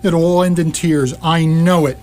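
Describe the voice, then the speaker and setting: A woman's voice: low voice, steady tone, normal speed, dark tone, a little hiss or hum, lightly acted. A woman playing a spaceship computer with a cloying, scolding and stubborn nannying personality in live radio theatre. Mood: stern, wheedling.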